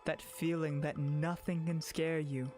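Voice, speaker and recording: A man's voice: fairly high pitch, flat delivery, unhurried, a little mellow, faint music behind, natural voice. A man voicing a sinister manipulator in a visual novel, coaxing and taunting with insinuating, leading questions. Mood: seductive, exultant.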